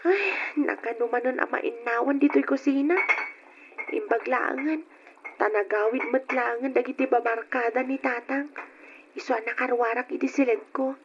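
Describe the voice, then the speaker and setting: A woman playing a timid, respectful young goddaughter in a radio drama, with a soft, hesitant way of speaking. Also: a little high, fairly even intonation, moderate pace, natural voice. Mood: quiet musing. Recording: dull, noisy old radio recording.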